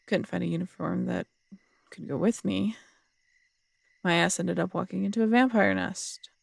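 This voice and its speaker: A woman playing a tough, strong-headed city detective in an intimate audio roleplay, husky and gravelly, telling things in a wry, unhurried, conversational way. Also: medium pitch, a little mellow, no echo. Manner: wry, unhurried storytelling with casual swearing.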